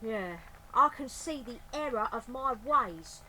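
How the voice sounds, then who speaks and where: A woman's voice: a little high, animated, slow, bright, forceful tone, a little hiss or hum, pitched above the performer's own voice, full character voice, rough recording. A woman voicing a simple, good-natured working-class man burglar in a storybook, earnest and ungrammatical. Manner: colloquial, ungrammatical, earnest speech.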